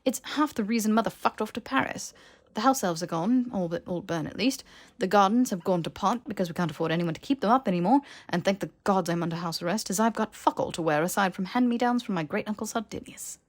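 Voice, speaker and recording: A woman's voice: mid-range voice, fast talker, close to an everyday voice. A woman voicing a haughty young aristocratic male wizard in a fantasy audiobook, scornful, bitter and theatrical in delivery. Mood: incredulous, bitter. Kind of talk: character voice in fan fiction audiobook.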